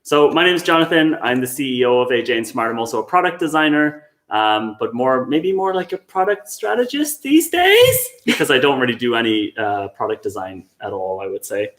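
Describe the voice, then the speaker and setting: A man's voice: medium pitch, very animated, fairly quick, few pauses, fairly bright tone. A man from Ireland, the company CEO, joking around in a casual chat while hungover. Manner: joking, playful interjections. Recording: echoey room.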